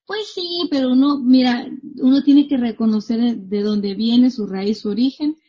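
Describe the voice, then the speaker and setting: A woman's voice: a little high, a little slow, muffled recording. A woman, a marketing coach chatting casually as a guest from her home. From northwestern Mexico. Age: 30s. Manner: chatty, animated, laughs easily. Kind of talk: casual interview chat.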